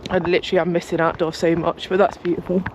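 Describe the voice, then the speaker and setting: A young woman, chatting casually to her camera as a cycling vlogger, rambling and talkative, her voice a bit croaky from just waking up. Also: lowish voice, level tone, fast, background noise. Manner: chatty, rambling, talks a lot. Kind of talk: casual vlog chat to camera. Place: home, then outdoors on a walk.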